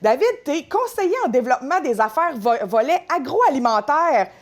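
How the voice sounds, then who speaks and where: A woman with a Quebec French accent leads a relaxed interview, her voice sitting a bit high. She asks questions in a friendly, chatty manner. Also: normal speed. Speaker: radio host. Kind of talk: casual interview.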